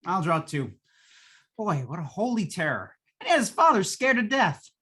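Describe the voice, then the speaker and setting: A performer acts out an excitable working man from a fifties radio drama. His voice is husky and jumps around in pitch. He talks in emphatic, exasperated slang, like a worrier who wants action. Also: normal speed, exaggerated voice. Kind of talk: 1950s radio acting.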